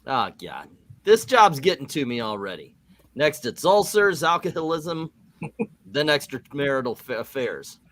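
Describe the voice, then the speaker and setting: A man acts out a superhero team leader full of self-doubt. The voice swings widely in pitch as he rambles melodramatically through an amateur comic book reading. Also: sing-song, measured pace, a bit bright, exaggerated voice. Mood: anxious, melodramatic.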